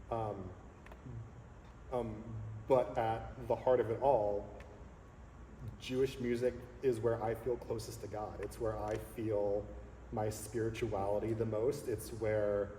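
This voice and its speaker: A man in his thirties, a songleader talking earnestly and reflectively as a guest in a casual interview, pausing often to find his words. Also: fairly low pitch, dark tone. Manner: earnest, reflective, halting with fillers. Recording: echoey hall with faint noise.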